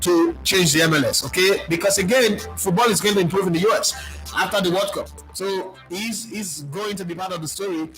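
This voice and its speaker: A Nigerian man speaking as a football pundit, answering viewer questions in an animated, preachy way and mixing in pidgin. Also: sits high, brisk, over music, low-quality recording. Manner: animated, preachy, lists players, mixes in pidgin.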